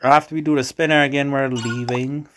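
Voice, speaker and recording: A man with a Bahamian accent hosts a gaming video. His voice sits at a middling pitch, and he talks through the play in a casual commentary style. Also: steady tone, fairly quick, noisy background.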